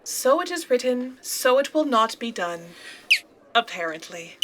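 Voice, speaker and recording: High, snide little talking bird, a woman acting. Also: very animated, measured pace, noisy background. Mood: cryptic, snide. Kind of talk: comic audio drama acting.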